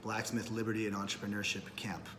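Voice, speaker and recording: A man's voice: on the low side, flat delivery, quick pace, fairly bright tone, a little hiss or hum. A man in his thirties from Peru, an entrepreneur giving a prepared self-introduction in a businesslike way, with occasional fillers and self-corrections. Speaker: camp applicant, entrepreneur.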